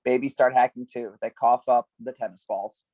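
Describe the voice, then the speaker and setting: A man's voice: medium pitch, level tone, normal speed, a little mellow. A man reading stage directions at a script table read, giving scene headings some emphasis.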